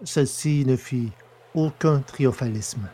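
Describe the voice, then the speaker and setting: A man narrating a science fiction audio drama in a steady, measured storytelling way. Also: medium pitch, husky.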